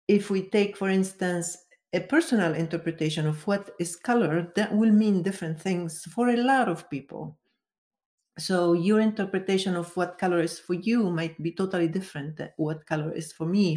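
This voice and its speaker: A woman's voice: fairly low pitch, a little mellow. A woman from Venezuela, a guest giving careful, step-by-step explanations in an interview. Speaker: guest, color expert.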